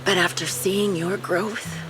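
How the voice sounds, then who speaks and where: Weary vengeful soldier villain lady, slow and brooding game cutscene voice, loud music behind. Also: steady tone, rough recording. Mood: darkly resigned. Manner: slow, brooding, theatrical delivery with breathy low chuckles. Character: vengeful female ex-soldier villain, weary, bitter, coldly mocking. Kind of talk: dramatic game cutscene acting.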